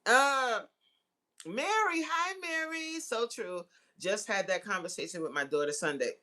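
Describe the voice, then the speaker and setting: A woman in her forties hosts a casual livestream chat. Her voice is bright and forceful, with very lively swings in pitch. She talks in a teasing, slangy, giggly way. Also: a little slow, a little hiss or hum. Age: 40s. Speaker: livestream host. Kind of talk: casual chat with live viewers reading comments.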